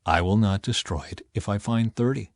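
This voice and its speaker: A man voicing God as a grave, measured and merciful judge in a dramatized scripture audiobook. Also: lowish voice, no echo, clean studio sound, natural voice. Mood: calm, measured.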